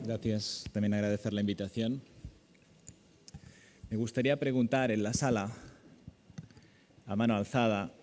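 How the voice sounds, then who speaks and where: Mellow Spanish man lecturing in reverberant hall. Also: fairly low pitch. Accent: Spanish from Spain. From Spain. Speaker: orthopaedic surgeon, conference speaker. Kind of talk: medical conference lecture.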